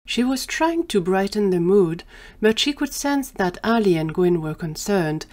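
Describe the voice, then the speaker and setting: A woman's voice: medium pitch, sing-song, a little slow, a little mellow. A woman narrating a scripted audio drama story as the storyteller.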